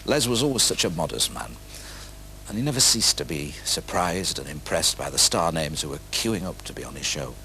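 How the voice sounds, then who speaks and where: A male documentary narrator speaking in a fond, measured way. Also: extremely low pitch, very animated, noisy background.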